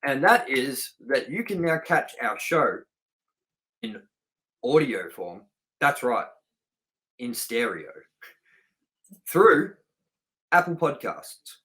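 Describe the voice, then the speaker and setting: A man's voice: medium pitch, very animated, takes it slowly, pauses often, pushed and bright, rough recording. A man co-hosting a movie review show in casual, unscripted chat, talking informally and straight to the audience. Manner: informal, chatty, addresses viewers directly.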